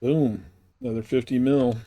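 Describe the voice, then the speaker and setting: A man's voice: mid-range voice, fairly even intonation, moderate pace, warm tone. A man giving casual, laid-back gameplay commentary on a live stream, talking half to himself and half to viewers. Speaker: game streamer.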